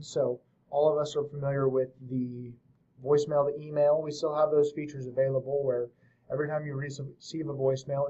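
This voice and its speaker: A man hosting a software tutorial, explaining things step by step in an informal, casual way. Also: mid-range voice, highs cut off. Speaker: host of a software tutorial series. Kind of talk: casual screen-share tutorial narration.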